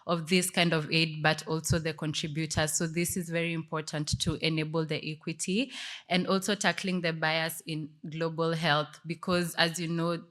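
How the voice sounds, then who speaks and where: A woman's voice: low voice, fairly bright tone. A woman from Kenya with a Kenyan accent, giving a conference presentation as a panelist. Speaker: panelist, tech community manager presenting.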